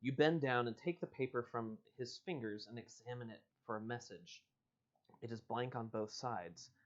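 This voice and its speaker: A man's voice: mid-range voice, normal speed. A man hosting an informal livestream, reading an adventure story aloud and chatting casually. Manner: informal, bantering with co-host and viewers. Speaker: host reading an interactive adventure book aloud. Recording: dull lo-fi livestream audio.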